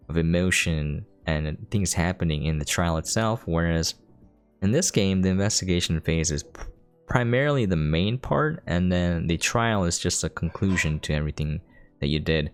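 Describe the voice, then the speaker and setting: Deep-voiced man doing casual game commentary. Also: clear, resonant tone. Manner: informal, explanatory, conversational. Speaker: gameplay streamer, commentator. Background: faint game music.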